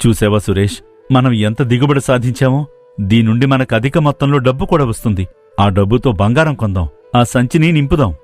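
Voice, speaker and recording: A man's voice: medium pitch, a little fast, a little mellow, faint music behind, lightly acted. A man voicing a determined, hardworking young villager in a cartoon moral story, earnest and persuasive. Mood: encouraging. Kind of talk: dramatised moral story dialogue.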